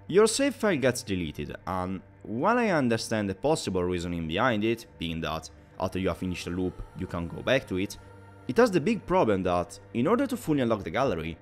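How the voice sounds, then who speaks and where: A man delivers a scripted video game review at an average pitch. His intonation rises and falls widely, giving his opinions an emphatic push. Music plays behind his narration.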